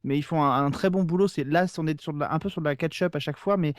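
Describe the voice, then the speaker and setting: Fast, higher-pitched man, enthusiastic. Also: no room sound, clean studio sound. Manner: enthusiastic, eager explainer. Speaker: podcast guest. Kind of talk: casual talk, recommending shows.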